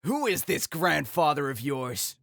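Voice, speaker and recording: A man's voice: a little high, very animated, a little slow, creaky, a bit bright, voice pushed up, exaggerated voice. A man voicing a cocky young bandit in melodramatic old anime dub style. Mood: threatening.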